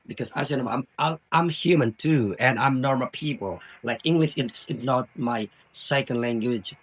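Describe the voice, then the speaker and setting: A man with an Indonesian accent speaking English as a non-native language, giving informal advice in a halting way and repeating words and phrases. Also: mid-range voice, slightly rough, poor audio. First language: Indonesian, Sundanese and Javanese. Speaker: language learner giving study tips.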